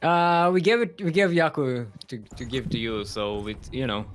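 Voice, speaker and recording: A young man with a Singaporean accent, casting a live strategy game match in an animated, chatty way with frequent laughs. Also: medium pitch, moderate pace, slight background noise. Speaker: esports caster.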